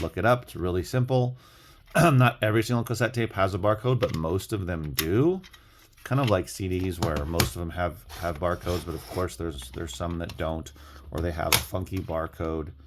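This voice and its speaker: A man with a low, deep voice talks through his reselling tips in a casual vlog style. He rambles, often circling back to restate a phrase. A faint layer of noise sits in the recording. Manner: rambling, repeats and rephrases himself. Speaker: online reseller sharing sales tips. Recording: clearly audible background noise.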